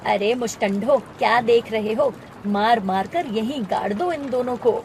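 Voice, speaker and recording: A woman voicing a greedy, cruel male moneylender who taunts and bullies in an animated story. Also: on the high side, a little slow, slight background noise. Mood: menacing. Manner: taunting, domineering.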